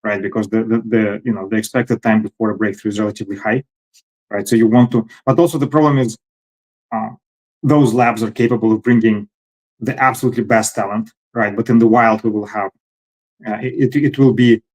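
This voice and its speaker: A man's voice: lowish voice, fairly even intonation, brisk, a little mellow, low-quality recording. A man hosting a conversation, working through an argument with many hesitations and repeated words. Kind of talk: conversational discussion.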